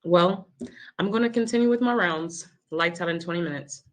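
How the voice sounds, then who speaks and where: A woman's voice: lowish voice, normal speed, lightly acted. A woman playing a caring, reassuring nurse on evening rounds in a dramatic table read. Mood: kindly.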